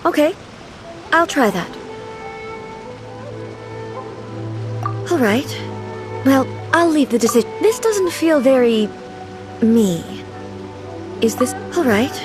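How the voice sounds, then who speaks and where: A naive solemn ruler goddess from a game, highish voice, formal n earnest, music playing. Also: female voice, lively intonation. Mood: self-conscious. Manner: formal, measured, earnest and contemplative. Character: solemn, naive female deity ruler, puzzled by modern things. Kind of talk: scripted video game dialogue.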